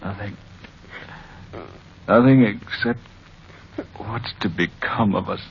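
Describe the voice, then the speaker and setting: A man's voice: lowish voice, takes it slowly. An actor playing a troubled, tender father in an old-time radio drama. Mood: grieving. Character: troubled, tender father abandoned by his wife. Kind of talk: 1940s-style radio drama acting. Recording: dull, noisy old radio recording.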